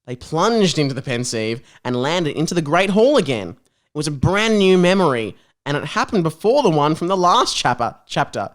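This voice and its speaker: A man's voice: a little high, very animated, moderate pace. A young man guesting on a comedy podcast, reading aloud from a comic story and chatting casually in a mocking, joking way. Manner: joking, mocking, often breaking into laughter.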